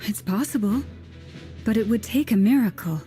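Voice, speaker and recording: A woman's voice: medium pitch, lively intonation, normal speed, mellow, soft background music, close to an everyday voice. An actress voicing an earnest adult woman ally in a game, recounting lore and making plans.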